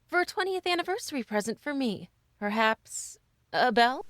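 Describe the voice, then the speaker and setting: A woman's voice: high voice, lively intonation, moderate pace, a bit bright, no room sound. A woman playing a cheerful, eager middle-aged wife in an old-time radio style drama. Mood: sentimental.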